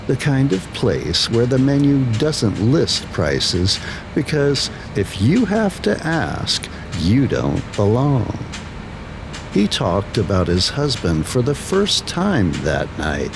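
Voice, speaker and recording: A man narrating a scripted first-person horror story in deliberate, short dramatic phrases with pauses. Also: mid-range voice, gravelly, background noise. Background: background music.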